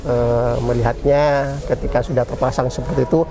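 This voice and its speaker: A man's voice: mid-range voice, flat delivery, fairly quick, noisy background. A man from Indonesia answering interview questions conversationally as a committee representative, often repeating key words.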